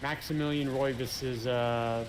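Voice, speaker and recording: A man's voice: medium pitch, steady tone, slow, noisy background. A man chatting casually while gaming, hesitant and full of fillers as he thinks aloud. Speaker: gamer co-op player.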